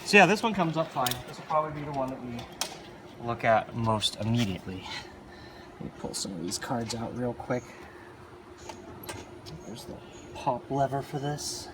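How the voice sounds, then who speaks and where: A man's voice: mid-range voice, animated, normal speed, pauses often, noisy background. A man giving casual, unscripted hands-on commentary as a hobbyist, offhand and often muttering to himself while he handles equipment. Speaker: hobbyist arcade machine video maker.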